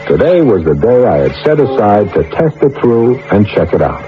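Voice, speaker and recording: A man's voice: lowish voice, big pitch swings, normal speed, faint music behind. A man playing an earnest, easily flustered inventor in a 1980 radio drama, hesitant and explanatory in his way of talking. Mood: reflective narrating. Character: earnest, flustered male inventor and computer scientist. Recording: dull lo-fi 1980 radio recording, faint noise.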